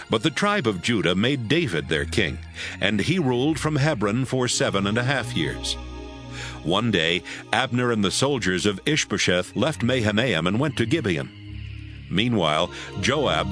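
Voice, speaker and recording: A man narrating a Bible audio drama from a script in a measured storytelling manner. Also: fairly low pitch, fairly bright tone. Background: music.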